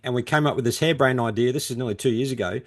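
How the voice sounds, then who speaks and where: A man's voice: medium pitch, very fast. Chatty man explaining in casual interview talk. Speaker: podcast co-host and author.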